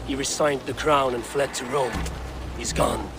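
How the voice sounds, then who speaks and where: A man's voice: mid-range voice, steady tone, unhurried, lightly acted. Firm warrior, played by a man. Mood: grave, insistent. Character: resolute male Viking warrior, persuasive and merciful. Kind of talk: dramatic video game acting. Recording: dramatic music behind the voice.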